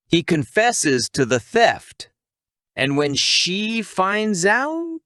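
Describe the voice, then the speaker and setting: Man narrating with animated, swooping pitch. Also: no echo, studio quality. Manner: wisecracking, conversational asides to the listener. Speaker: narrator of an anime recap. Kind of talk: scripted comic story recap narration.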